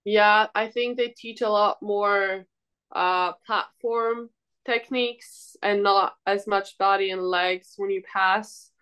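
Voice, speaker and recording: Young woman athlete from greece chatting in an interview, takes her time, kinda hesitant, clean smooth voice. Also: medium pitch, steady tone, slow pace, fairly bright tone. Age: young adult. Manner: hesitant, informal, hedging. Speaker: volleyball player, interview guest. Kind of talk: casual conversational interview answers.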